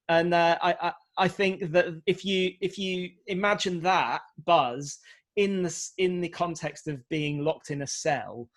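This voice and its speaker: A man speaking as a panellist in a conversational way, haltingly, often repeating words as he works out his answer. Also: on the high side. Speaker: prison radio broadcaster, panellist.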